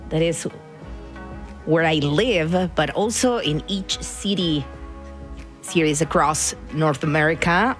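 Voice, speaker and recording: A woman's voice: low-pitched, slow, pauses often, faint music behind. A woman from Argentina with an Argentine Spanish accent, chatting casually as a radio DJ and slipping into Spanish words.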